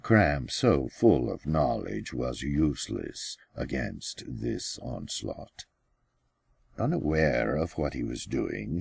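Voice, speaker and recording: A man with a gravelly, husky voice reading his own story as an author, in deliberate, measured audiobook narration with pauses. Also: a bass voice, a little mellow, no echo, clean studio sound. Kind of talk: slow scripted audiobook narration.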